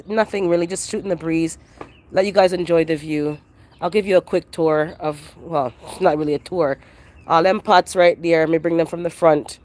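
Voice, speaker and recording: A gardening livestream host with a congested, nasal voice from a head cold, chatting in a relaxed, rambling way with viewers while outdoors in a backyard garden, recorded on a handheld phone. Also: medium pitch. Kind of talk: casual live chat with viewers.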